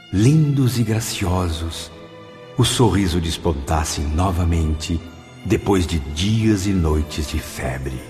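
A man's voice: low-pitched, darkish tone. An actor playing a remorseful spirit of a man, narrating solemnly in a radio drama. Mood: earnest. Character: remorseful male suicide spirit recounting his long penance. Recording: long reverb, music behind.

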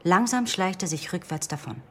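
An actress playing an earnest, thoughtful young psychology student in a radio drama, measured and bookish. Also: female voice, on the low side, expressive, slight background noise, natural voice. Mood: reciting calmly. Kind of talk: German radio drama acting, storytelling.

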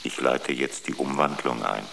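A man playing a calm ship's computer in a radio drama, speaking in a measured, emotionless and formal way. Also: extremely low pitch, gravelly. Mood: calm, detached. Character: ship's computer, calm male machine intelligence. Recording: echoey long reverb, noisy background.

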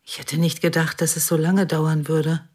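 An actress playing a subdued, longing middle-aged mother in a radio drama, breathy and hesitant. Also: deep voice, level tone, normal speed. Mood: stunned, longing. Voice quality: breathy, husky. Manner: hushed, hesitant. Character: subdued, longing mother welcoming grown children home. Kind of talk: naturalistic radio drama dialogue.

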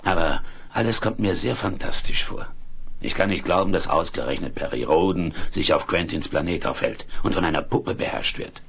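Gravelly, deep-voiced gruff hermit. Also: male voice, fairly bright tone, exaggerated voice. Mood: thoughtful. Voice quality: gravelly, husky. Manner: gruff, unhurried, commanding. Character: big red-bearded hermit, gruff, protective secret father. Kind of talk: 1970s German radio play acting.

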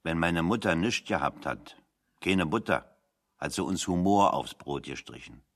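An actor playing a plain-spoken, unschooled working-class political exile in a radio play, with a folksy, drily humorous manner. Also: male voice, sits low, animated, measured pace, a bit bright, exaggerated voice. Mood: folksy, wry.

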